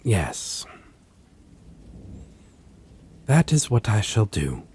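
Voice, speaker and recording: Vampire lead, male actor: low, husky and very slow, with theatrical swings, possessive and condescending, for audio roleplay. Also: wildly swooping pitch, darkish tone, a little hiss or hum. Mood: cold, solemn. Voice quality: husky, breathy. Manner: slow, theatrical, archaic wording, condescending endearments. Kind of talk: dramatic audio roleplay acting addressed to listener.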